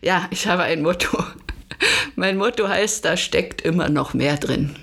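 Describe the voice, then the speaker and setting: A woman's voice: on the low side, measured pace, vocal fry, fairly bright tone, slightly rough. Woman from Berlin explaining things in an interview. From Germany, Berlin. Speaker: podcast guest, coach. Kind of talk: conversational interview answers.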